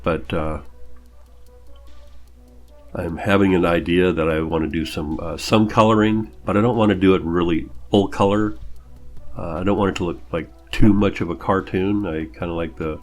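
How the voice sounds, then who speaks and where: A man giving a casual art demo voice-over, rambling and thinking aloud with hesitant phrasing as an artist talking about his own work. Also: deep voice. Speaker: artist narrating own drawing video.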